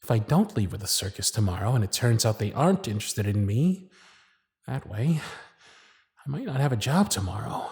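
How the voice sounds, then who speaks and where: A man acts out a lovestruck circus performer in an intimate audio roleplay. The voice is husky and breathy, recorded close and dry. The delivery is hesitant and earnest, nervously feeling its way through each thought. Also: fairly low pitch, big pitch swings, normal speed, darkish tone, no echo, heavily acted. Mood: anxious, frustrated. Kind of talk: intimate ASMR roleplay acting.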